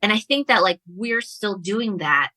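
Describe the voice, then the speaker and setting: A woman's voice: mid-range voice, lively intonation, unhurried, bright, forceful tone, no echo. A woman speaking as a guest author in a casual discussion about books.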